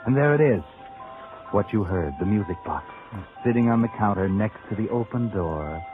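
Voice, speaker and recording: An actor playing a sardonic, mocking narrator who needles like a conscience in a 1940s radio suspense show. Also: male voice, fairly low pitch, animated, normal speed, natural voice. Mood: sardonic, taunting. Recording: narrow-band old radio recording with music.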